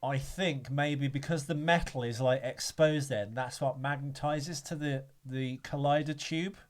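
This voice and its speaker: A man's voice: mid-range voice, fairly even intonation, unhurried. A man co-hosting a podcast, chatting casually about a film, rambling with restarts and casual swearing. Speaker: podcast co-host.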